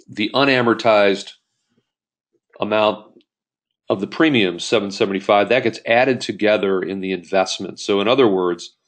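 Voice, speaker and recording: A man giving an explanatory lecture as an accounting instructor, working step by step through an example and restating key points. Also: lowish voice. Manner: step-by-step, rephrases key points, poses questions to himself.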